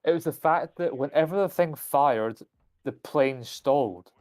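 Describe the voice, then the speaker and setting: A man's voice: on the high side, a little slow, darkish tone. A young man streaming a game, rambling in casual chat with frequent swearing and restarts. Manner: rambling, profane, full of restarts.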